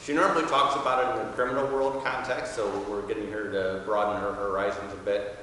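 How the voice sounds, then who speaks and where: Man, 60s, magistrate making introductions. Lowish voice, halting delivery, heard from a distance in a big reverberant room. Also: steady tone, bright, forceful tone. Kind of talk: informal welcome speech. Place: wood-paneled courtroom. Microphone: podium mic, standing back from it. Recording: very echoey hall, distant mic, faint noise.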